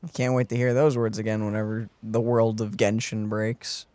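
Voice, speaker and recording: A woman's voice: very deep voice, level tone, normal speed, warm tone, no echo. A woman giving casual reaction commentary as she hosts a video game let's play.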